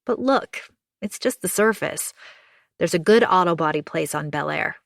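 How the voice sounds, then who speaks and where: A woman's voice: on the low side, expressive, normal speed, creaky, dry recording, studio quality. A woman reading aloud and voicing a friendly, helpful woman acquaintance who talks in an upbeat, soothing way. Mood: reassuring.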